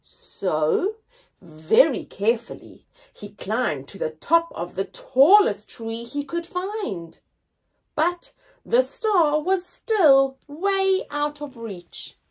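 Young female storyteller for a kids' read-aloud, high animated voice with a touch of husk, dramatic emphasis and pauses. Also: slightly rough. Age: young adult. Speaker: children's story reader. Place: indoors, small room with painted backdrop.

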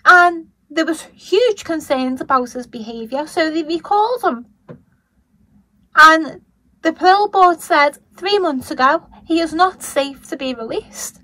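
A woman with a Liverpool accent and a croaky voice, giving blunt, indignant commentary on a news story as a vlogger. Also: high voice, sharp tone, no room sound, rough recording. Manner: blunt and indignant, swears when angry. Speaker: true-crime commentary vlogger. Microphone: voice-over over still images.

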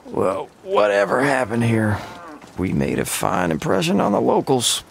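A wry, tired gunslinger in a Western game. His gravelly voice moves slowly and swings in pitch, over clear outdoor noise. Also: wildly swooping pitch, crowd noise, heavily acted. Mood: wry, weary. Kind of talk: video game cutscene acting. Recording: outdoor ambience noise behind.

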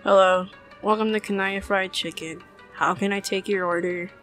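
A woman voicing an apathetic fast-food cashier in a cartoon comic dub, weary and unimpressed. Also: medium pitch, soft background music, lightly acted. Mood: flat, polite. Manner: flat, hesitant, unimpressed.

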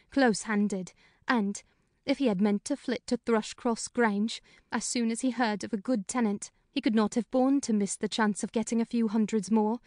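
A man's voice: sits high, darkish tone, no room sound, studio quality, voice pushed up, close to an everyday voice. A man voicing a talkative middle-aged female housekeeper in a plain, frank way in a storybook reading. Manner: brisk, talkative, plain-spoken. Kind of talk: audiobook character voice.

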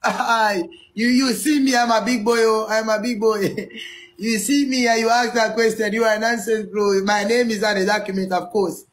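A very high, squealing applicant, put on by a man, full of boasts in broken English. He wails and protests when pressed, his voice cracking upward. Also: fairly even intonation, moderate pace, a bit bright. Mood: boastful. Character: excitable male visa applicant, boastful.

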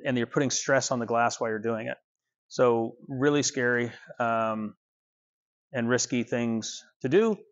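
A man in his fifties giving a casual walking tour of his car restoration workshop, relaxed and rambling with many fillers, recorded on a small clip-on mic. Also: mid-range voice, dull audio.

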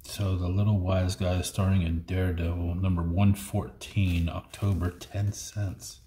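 A man's voice: deep voice, little pitch movement, unhurried, dark tone. A middle-aged man sorting through old comics at a table, reading out issue numbers and muttering comments as he goes. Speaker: comic collection unboxing host. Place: indoor room at a table. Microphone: fixed camera across the table. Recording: echoey room, faint noise.

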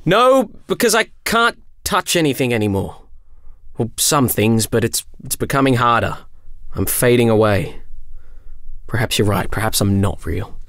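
A man's voice: medium pitch, animated, moderate pace, a bit bright, dry recording, studio quality. An actor playing an odd young male angel in an audio drama, teasing, flippant and a little hesitant. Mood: confessional.

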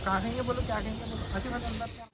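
A man's voice: very high voice, fairly even intonation, very fast. A man vlogging casually on a trip, chatting and pointing things out to the camera outdoors on a phone. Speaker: travel vlogger. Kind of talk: casual vlog narration. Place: outdoors, on the road and at a mosque courtyard. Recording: loud outdoor noise.

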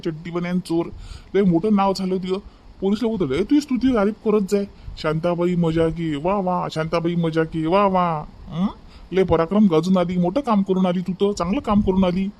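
A man's voice: sits high, normal speed, a little mellow, close to an everyday voice. A man voicing a sardonic village husband in a comedy cartoon, repeating his phrases. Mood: mocking. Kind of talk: comedy cartoon family dialogue.

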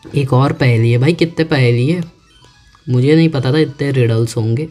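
A man giving casual let's-play commentary, chatting to himself and reading on-screen text aloud slowly. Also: medium pitch, warm tone. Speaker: gaming YouTuber. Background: faint game music.

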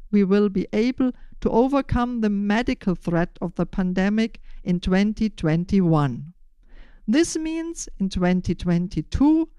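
An older woman with a German accent speaking deliberately and in measured phrases as a podcast host, giving prepared interview answers. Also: medium pitch, dark tone, smooth, dry recording.

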